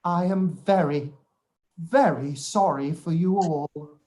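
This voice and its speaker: Pompous period-drama clergyman, acted out: slow delivery, fawning, sanctimonious. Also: mid-range voice, mellow, close to an everyday voice. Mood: unctuous. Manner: sanctimonious, halting when flustered. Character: pompous, obsequious male clergyman cousin. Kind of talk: live-read period radio play.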